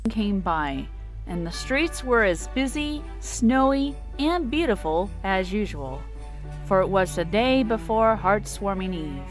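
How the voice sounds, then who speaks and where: A woman's voice: medium pitch, very animated, measured pace. A woman narrator reading a children's-style story as a scripted dramatic reading. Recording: music under the voice.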